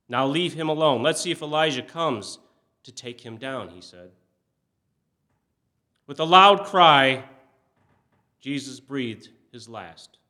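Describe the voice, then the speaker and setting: Bright-voiced man giving a solemn scripture reading. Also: on the high side. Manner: measured, expressive, emphatic on quoted cries, falling away softly at phrase ends. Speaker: scripture reader. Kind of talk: solemn dramatic Bible reading for a church service.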